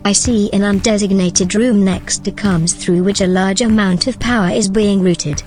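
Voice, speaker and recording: Audio drama casting: actress for female AI helper, medium pitch, composed, matter-of-fact, formal, music behind. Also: steady tone, moderate pace, a little mellow, lightly acted. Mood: composed, helpful. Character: calm, literal, helpful female artificial intelligence assistant.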